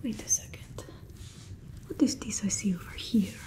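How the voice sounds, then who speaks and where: A young woman playing a stern, mocking fantasy guard in a breathy whispered ASMR roleplay, suspicious and sarcastic. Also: mid-range voice, normal speed, dark tone, close to an everyday voice. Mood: suspicious, sardonic. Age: 20s.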